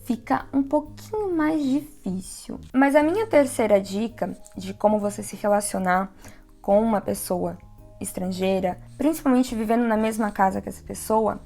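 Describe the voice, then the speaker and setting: A Brazilian woman from São Paulo with a softened São Paulo accent, giving chatty advice in a casual vlog. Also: fairly high pitch, gravelly. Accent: São Paulo Portuguese, softened. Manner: chatty, reflective, laughs easily. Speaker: vlogger living abroad.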